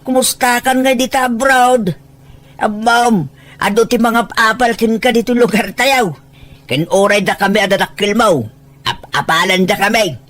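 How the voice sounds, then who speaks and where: Woman acting a pushy, boastful older parent, high voice. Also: normal speed, a bit bright, natural voice. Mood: proud, cheerful. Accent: Filipino. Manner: pushy, exclaiming, questioning. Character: boastful, money-minded older parent of an emigrant daughter. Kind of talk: Ilocano audio drama acting.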